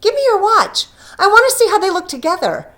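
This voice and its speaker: A woman's voice: very high-pitched, sing-song, brisk, pushed and bright, pitched above the performer's own voice, exaggerated voice. A woman voicing an eager, loving young wife in a storybook reading, emphatic and pleading. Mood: excited.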